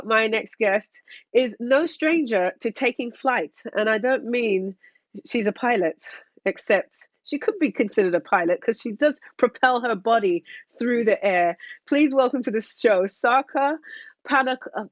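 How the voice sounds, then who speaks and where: A woman's voice: on the high side, expressive, a little slow, no room sound, lo-fi sound. A woman hosting a sports interview show, chatty and self-deprecating as she asks questions. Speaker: show host, former Olympic athlete.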